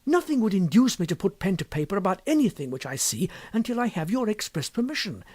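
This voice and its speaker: A man voicing an earnest, hot-tempered, wealthy young English gentleman in an audiobook story, speaking formally and emphatically. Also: high-pitched, very animated, quick pace, dry recording, voice pushed up. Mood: earnest, insistent. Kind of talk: audiobook character dialogue.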